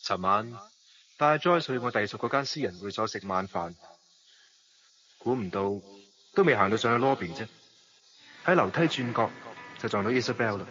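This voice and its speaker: An actor playing a suave, worldly gentleman narrating and talking in a radio drama, with a Hong Kong Cantonese accent. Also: lowish voice, animated, moderate pace, pauses often, faint music behind. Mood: reflective, measured. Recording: dull lo-fi audio, noisy background.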